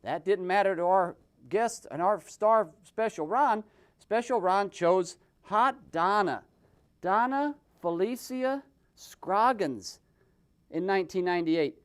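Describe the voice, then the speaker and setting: Theatrical man with very lively intonation. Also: sits high, slow pace, smooth. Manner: mocking, theatrical, improvised. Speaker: host and puppeteer. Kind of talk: comic storytelling with puppets.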